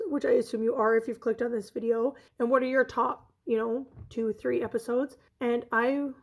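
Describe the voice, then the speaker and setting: A woman in her thirties chatting enthusiastically in a casual vlog, her voice hoarse from being ill. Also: a little high, darkish tone. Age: 30s. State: hoarse from illness. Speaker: vlogger.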